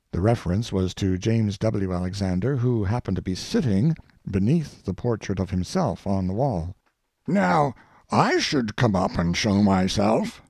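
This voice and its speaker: A man reading an old after-dinner speech aloud in a deliberate, oratorical manner with stressed pauses. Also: medium pitch, vocal fry, dark tone, husky, no room sound, clean studio sound. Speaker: audiobook reader.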